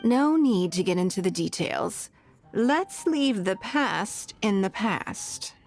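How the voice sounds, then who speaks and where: A dignified game noblewoman who is always in control. Her mid-range voice is poised and measured, with a sly, elegant edge. Faint music sits behind her. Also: mid-range voice, lively intonation. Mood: wistful. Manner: poised, measured, gently teasing. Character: dignified, shrewd, composed noblewoman leader. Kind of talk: video game voice acting. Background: background music.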